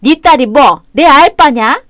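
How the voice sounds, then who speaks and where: A woman's voice: high voice, slow, voice pushed up. A woman voicing an abusive, greedy older mother-in-law who screeches insults in an audio drama. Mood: vicious. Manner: screeching, insulting, whining when cornered. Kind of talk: Korean audio drama acting.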